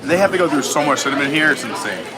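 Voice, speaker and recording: A man's voice: on the high side, animated, fast. An American man from Long Island chatting casually as he hosts a live vlog stream. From Long Island, New York, USA. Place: busy public indoor resort space. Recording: loud crowd in large indoor space. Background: loud crowd chatter.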